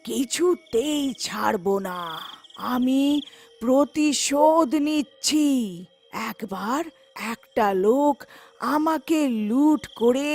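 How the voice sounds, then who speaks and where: Woman voicing a slow, high-pitched vengeful witch ghost. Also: darkish tone, exaggerated voice. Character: vengeful female witch ghost, menacing and scornful. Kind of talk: animated horror folktale acting.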